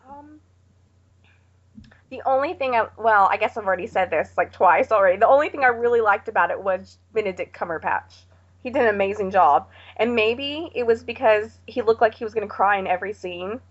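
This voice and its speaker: A woman's voice: mid-range voice, a little fast. Plain-spoken woman on dull lo-fi audio. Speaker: podcast guest panelist. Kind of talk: casual movie discussion.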